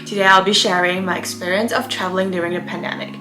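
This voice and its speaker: A woman's voice: lowish voice, flat delivery, quick pace. A young woman from Malaysia, giving chatty, explanatory advice in a casual vlog, often saying "um" and "you know.". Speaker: vlogger. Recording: echoey room with music. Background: background music.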